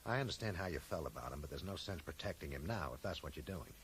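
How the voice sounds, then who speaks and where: A deep-voiced man investigates in a radio play, polite and apologetic but never letting go. His questions rise and fall in an animated way, over light background noise. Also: quick pace. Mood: pressing. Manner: probing, apologetic yet insistent. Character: persistent, polite male investigator probing a death. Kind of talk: radio drama acting. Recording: noisy 1980s radio drama broadcast.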